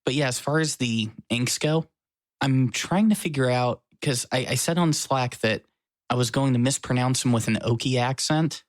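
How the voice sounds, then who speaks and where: A man with a mid-pitched voice chats casually on a podcast, joking and poking fun at himself. His voice is recorded dry and close. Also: normal speed, dry recording. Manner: joking, self-mocking. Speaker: podcast co-host. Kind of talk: casual chat. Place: his apartment.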